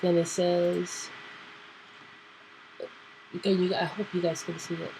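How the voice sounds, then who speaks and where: A woman hosting a casual computer tutorial, nasal and clearing her throat because she is sick, thinking aloud with fillers. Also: lowish voice, flat delivery, slow pace, warm tone, slight background noise, poor audio. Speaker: tutorial host. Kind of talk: casual screen-recorded tutorial.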